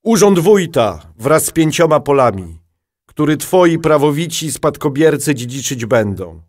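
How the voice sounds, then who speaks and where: Man playing a solemn, higher-voiced medieval duke. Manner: solemn, stately, formal. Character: pious medieval duke proclaiming a charter. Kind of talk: audio drama acting, formal proclamation.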